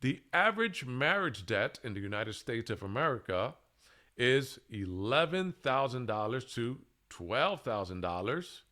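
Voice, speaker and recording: A man presenting a scripted monologue in a deliberate, halting way, with emphatic pauses between short phrases. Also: mid-range voice, big pitch swings, sharp tone. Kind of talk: scripted monologue, public speaking.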